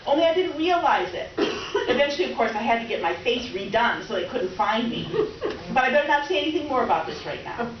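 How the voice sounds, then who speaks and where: A woman's voice: on the high side, sing-song, quick pace. A woman playing a dramatic, scatterbrained middle-aged houseguest who rambles and overshares in a comic stage reading. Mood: breezy. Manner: rambling, self-absorbed, theatrical. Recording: distant echoey room, muffled, faint noise.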